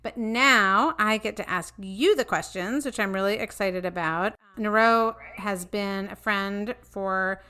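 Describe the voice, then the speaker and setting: A woman's voice: mid-range voice, unhurried, hardly any pauses, sharp tone, smooth. A middle-aged woman hosting a podcast, asking earnest, thoughtful questions in a conversational interview. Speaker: podcast host.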